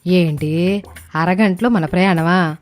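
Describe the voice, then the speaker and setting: A woman's voice: fairly low pitch, slow, faint music behind. A woman voicing an irritable older mother-in-law in a story, grumbling with a scolding, sarcastic manner. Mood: incredulous. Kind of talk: animated moral story dialogue.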